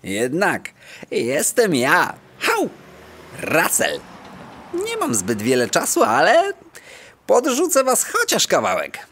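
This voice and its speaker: A woman's voice: medium pitch, huge pitch swings, takes it slowly, long pauses, a bit bright, a little hiss or hum, exaggerated voice. A woman voicing a small, breezy, self-assured male terrier with slangy patter in a children's audio play. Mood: breezy, eager.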